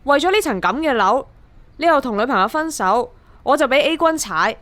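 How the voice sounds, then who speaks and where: A woman's voice: high voice, animated, moderate pace, a bit bright. An actress with a Hong Kong Cantonese accent playing an earnest young woman fed up with her mortgage struggle in a radio drama. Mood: resolute.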